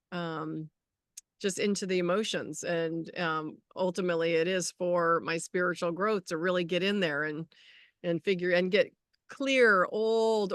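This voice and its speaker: A woman's voice: lowish voice, a bit bright, no echo, clean studio sound. A middle-aged woman co-hosting a spiritual talk show in a casual, effusive, agreeable chatting style. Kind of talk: casual chat.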